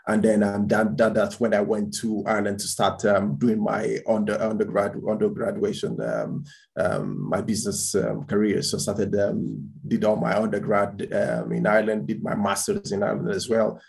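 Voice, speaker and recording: Man, low voice, gravelly, halting, restarts often, casual interview guest. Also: darkish tone. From born in Spain, raised in Portugal. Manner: halting, many restarts and repeated words. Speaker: guest entrepreneur.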